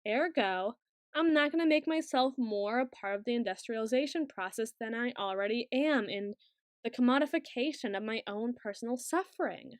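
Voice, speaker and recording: Female actor for awkward, protective student girl in ASMR roleplay: higher pitch, formal intellectual phrasing, hesitant, very dry close sound. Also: normal speed, no echo, lightly acted. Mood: defensive, detached. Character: awkward, bookish young woman student, secretly obsessive, protective.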